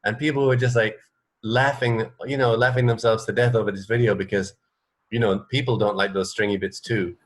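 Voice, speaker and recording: A man co-hosting a casual radio chat, thinking aloud in a halting way. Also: fairly low pitch, fairly even intonation. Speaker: radio co-host.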